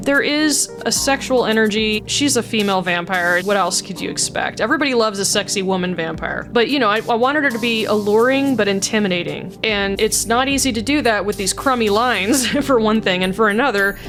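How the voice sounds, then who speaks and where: A woman's voice: mid-range voice, brisk, hardly any pauses, fairly bright tone, soft background music. A woman casually talking through her voice mod work, chatty and blunt, laughing often. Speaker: voice mod creator. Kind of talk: casual commentary.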